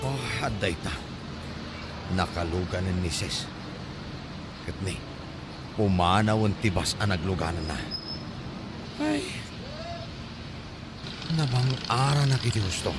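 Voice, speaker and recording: A man's voice: low voice, animated, slow pace, pauses often, heavy background noise, heavily acted. A man acting an exasperated boyfriend worn down by a clingy partner in an Ilocano comedy drama, with an Ilocano Filipino accent and a complaining, whining delivery. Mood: scheming, muttering. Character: exasperated, hard-pressed boyfriend of a clingy woman.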